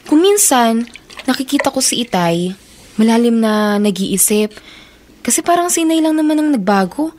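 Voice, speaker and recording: Actress as a high-voiced, dutiful Filipino schoolgirl. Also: lively intonation, moderate pace, darkish tone, a little hiss or hum, close to an everyday voice. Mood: worried, confiding. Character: respectful, dutiful schoolgirl, youngest daughter. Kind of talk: Filipino radio drama acting.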